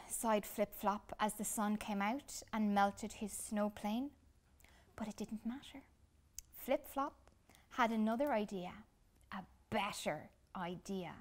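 A woman reads a children's picture book expressively, with deliberate dramatic pauses. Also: medium pitch. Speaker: children's storytime reader. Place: small furnished room indoors.

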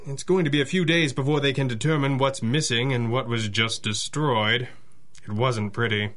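An actor playing a dry, mysterious masked crimefighter boss, speaking in measured deadpan in a 1930s-style radio adventure. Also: male voice, mid-range voice, close to an everyday voice. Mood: dry, businesslike.

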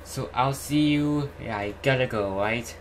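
A young man giving a casual screen-recorded tutorial in a halting, repetitive way. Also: mid-range voice. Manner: halting, repetitive, says "alright" often. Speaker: YouTuber host. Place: indoor room at home. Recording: clearly noisy home recording, keyboard typing.

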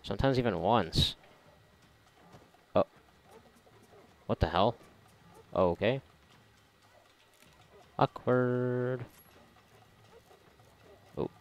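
A man's voice: mid-range voice, very slow, vocal fry, pauses often. A man giving casual, sarcastic, profanity-laced gameplay commentary, often muttering to himself. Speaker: gamer doing a playthrough.

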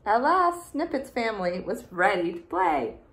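A young adult woman, a teacher, reading a picture book aloud in a deliberate, expressive way for small children. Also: mid-range voice. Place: small room indoors.

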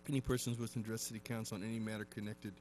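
A man acting as mayor, chairing a council meeting in a wood-paneled chamber, brisk and procedural, and firm when he interrupts. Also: on the low side, little pitch movement, a little mellow, a little hiss or hum. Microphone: desk microphone on the dais.

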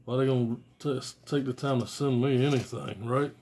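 An American man in his forties talks casually in a small room at home. His voice sits at an average pitch, and his delivery is blunt, slangy and profane. The phone recording carries faint noise and clicks. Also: steady tone, normal speed, a little mellow. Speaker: music fan reviewing albums on video. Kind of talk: casual unscripted vlog chat. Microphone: phone or tablet at arm's length.

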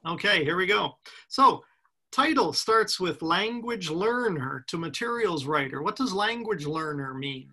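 Bright gravelly older male host. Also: high-pitched, lively intonation, measured pace. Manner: friendly, chatty, many fillers. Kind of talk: casual video call interview. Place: home room. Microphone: video call webcam.

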